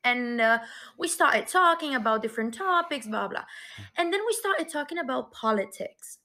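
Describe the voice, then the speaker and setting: Woman from Romania, bright tone, slightly high, chatty anecdote. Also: animated, normal speed. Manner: chatty, uses fillers like "like" and "blah". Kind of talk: casual storytelling clip.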